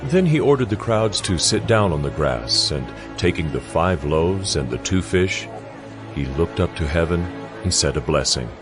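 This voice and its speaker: A man narrating scripture in a solemn, measured, scripted style for a dramatized audio Bible. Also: deep voice, expressive. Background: music.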